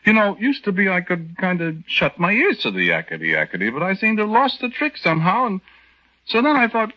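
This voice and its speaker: A man's voice: sits high, huge pitch swings, brisk. An actor playing a henpecked young American army private, telling his story in a hesitant, folksy, rambling way in 1940s radio style. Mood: exasperated, confiding. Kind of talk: 1940s radio acting, conversational first-person narration. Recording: dull lo-fi 1940s radio recording.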